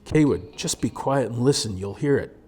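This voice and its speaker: A man's voice: medium pitch, animated, moderate pace, warm tone, breathy edge, soft background music. A man voicing a worried, insistent frontier wife and mother with urgent, folksy speech in a storybook reading.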